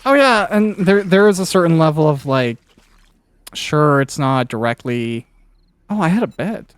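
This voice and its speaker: Higher-pitched man chatting over a game. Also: expressive, normal speed, clear, resonant tone, no echo. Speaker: podcast host interviewing a cast member. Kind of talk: casual chat while playing a video game.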